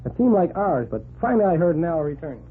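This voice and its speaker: An actor playing a brash, eager newspaper reporter with breezy slang, in 1940s radio drama style. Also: male voice, fairly high pitch, big pitch swings, fast, slightly rough. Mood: narrating. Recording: noisy, phone-like 1940s radio recording.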